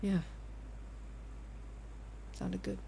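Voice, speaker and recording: An actress playing a concerned lover in an audio drama, speaking in a natural, conversational way that gently probes and needles. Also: female voice, very slow, slight background noise. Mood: caring, concerned. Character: concerned, probing female lover of a withdrawn musician.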